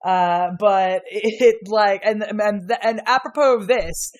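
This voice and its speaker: A woman's voice: mid-range voice, slow pace. Rambling, laughing woman podcast guest. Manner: rambling and laughing, full of "like" and "you know", swears casually. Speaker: guest, university history lecturer. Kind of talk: casual podcast chat.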